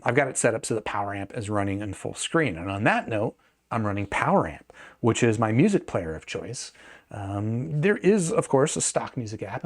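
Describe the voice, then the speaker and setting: A man's voice: mid-range voice, darkish tone. A man giving a casual, unscripted product review in a conversational way, often hedging and thinking aloud as he goes.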